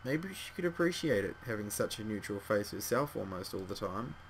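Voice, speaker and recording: A hoarse man reading a visual novel aloud as narrator, wry and adding asides. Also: lowish voice, animated, fairly quick, a little hiss or hum, poor audio. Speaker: let's play narrator.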